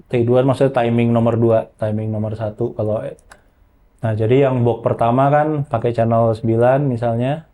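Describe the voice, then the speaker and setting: Mellow-voiced man giving software training. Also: mid-range voice, little pitch movement, moderate pace. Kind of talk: informal hands-on software tutorial.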